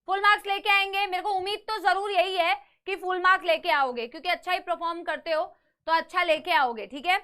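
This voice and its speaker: A woman's voice: very high voice, steady tone, a little fast, bright, forceful tone. A young woman teaching a live online biology class with a slightly sore throat, who coaxes her students, calls them "beta" and mixes English phrases into her lecture.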